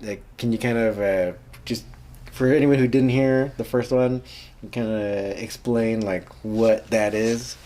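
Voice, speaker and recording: Woman interviewer with an extremely low voice who rambles through her questions. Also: fairly even intonation, unhurried, mellow. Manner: rambling questions full of fillers, constant backchannels. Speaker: podcast host, interviewer. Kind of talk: casual interview chat.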